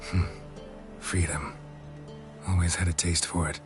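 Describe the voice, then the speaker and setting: An actor playing a laconic, weary, guarded monster hunter in video game dialogue, speaking tersely with understatement. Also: male voice, sits low, little pitch movement, unhurried. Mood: curious, reserved. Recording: music playing behind the voice.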